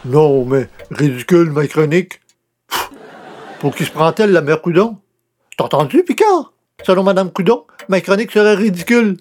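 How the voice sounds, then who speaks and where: A self-important columnist who fancies himself a great writer played by a man, with a somewhat high voice. He is theatrical and grumbles with wounded pride in old radio comedy style. Faint noise sits behind him. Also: expressive, moderate pace, a little mellow. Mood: indignant, grumbling.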